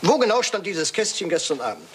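An actor playing a fastidious, shrewd Belgian detective in a German crime radio play, speaking precisely and probingly with French words sprinkled in. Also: male voice, sits high, sing-song, a little hiss or hum. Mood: playfully inquisitive.

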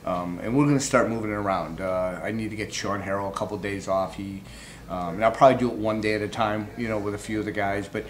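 A middle-aged man answering post-game questions as a baseball manager, informal and halting. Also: on the low side, fairly even intonation. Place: indoor press backdrop room. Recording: clearly noisy room background.

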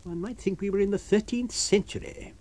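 A man voicing a scornful, irritated gentleman doctor in an audiobook, musing disdainfully in educated speech. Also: high-pitched, brisk, pitched above the performer's own voice. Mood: scornful amusement. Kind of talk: audiobook character voice.

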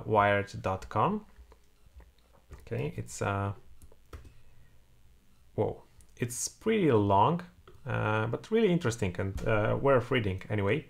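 Low, gravelly Polish-accented man. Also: expressive, slow, pauses often. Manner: thinks aloud while typing, many "uh" fillers. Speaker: programming tutor. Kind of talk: screen-recorded coding tutorial. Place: home room, indoors.

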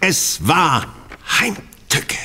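An actor in a German audio drama playing a shrewd, commanding master detective with an incisive, formal, stern delivery. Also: male voice, high-pitched, big pitch swings, drawn-out words, pushed and bright, breathy edge, exaggerated voice.

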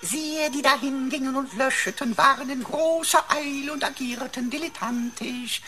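A woman's voice: high-pitched, moderate pace, bright, forceful tone, soft background music. A woman playing a cheeky, wordy talking computer in a sci-fi radio play, pompous and mock-servile with playful wordplay.

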